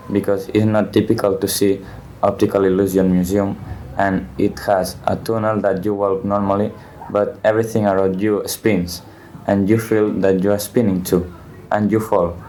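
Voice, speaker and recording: Teen boy from Spain, student podcast, halting, self-correcting, lowish flat voice, faint hiss. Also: poor audio. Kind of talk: podcast discussion.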